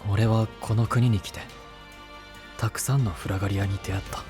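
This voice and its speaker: An actor playing an earnest, devoted young knight in a Japanese voice drama, speaking in a deliberate, halting way. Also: male voice, lowish voice, fairly even intonation, close to an everyday voice. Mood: solemn resolve. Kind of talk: Japanese voice drama acting, monologue. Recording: music behind the voice.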